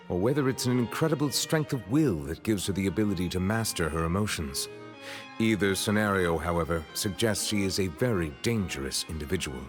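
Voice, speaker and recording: A solemn, dignified male judge from a video game voiced by an actor speaks on the low side. He is wary and eloquent, choosing formal words deliberately, with soft music underneath. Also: lightly acted. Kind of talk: video game character dialogue.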